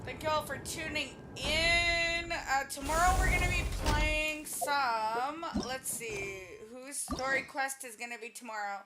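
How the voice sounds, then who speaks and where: A woman's voice: high-pitched, measured pace, hardly any pauses, background noise. A woman streamer chatting casually to her live audience while she plays a video game. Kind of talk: casual livestream chat over gameplay. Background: game music.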